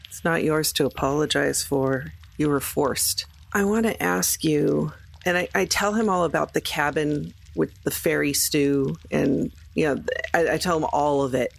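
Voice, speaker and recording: A woman plays a tabletop game, improvising in character. Her voice is low for a woman, with plenty of gravelly creak. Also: moderate pace. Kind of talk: in-character improvised roleplay talk.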